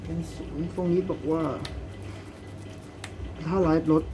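A man playing a card game in a comic shop, calmly explaining the card rules to his opponent. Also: on the high side, a little slow, background noise. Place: comic book shop, indoors.

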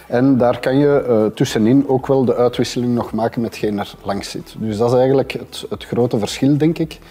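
Husky, mellow Flemish man explaining. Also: mid-range voice, fairly quick. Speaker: expert panelist. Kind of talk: explanatory conversation. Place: indoor café-style room, at a table.

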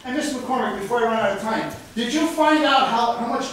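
A middle-aged man angrily addressing a city council at a public meeting, loud, frustrated and accusatory, hurling insults. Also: extremely high pitch, fast. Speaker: citizen speaking at a public meeting. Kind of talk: angry public comment speech to a city council. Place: large council chamber indoors. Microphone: distant handheld camera in the audience. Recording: echoey room, distant camera mic, faint noise.

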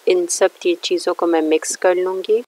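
A woman's voice: lowish voice, fairly even intonation, normal speed, mellow. A woman narrating a recipe step by step in a plain, instructional way. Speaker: cooking show host.

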